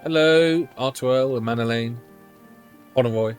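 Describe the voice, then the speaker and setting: A man commentating casually on a live game stream. His voice is mid-pitched, and soft music plays behind him. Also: a little slow.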